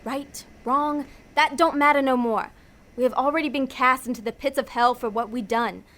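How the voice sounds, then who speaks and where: A woman's voice: high-pitched, steady tone, normal speed, fairly bright tone. A woman playing a cynical, hard-nosed demon schemer in an audio drama, blunt and insistent in everyday ungrammatical speech.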